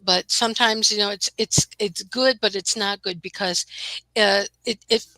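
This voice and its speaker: A woman with a thick Midwestern accent chats freely, circling back and restarting her sentences as she tells a story. Her voice is bright and sharp, and it sounds dry and close to the mic. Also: mid-range voice, fairly even intonation, normal speed, no echo, rough recording. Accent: Midwestern American. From American Midwest. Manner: chatty storyteller, many restarts and "you know". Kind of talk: casual group chat.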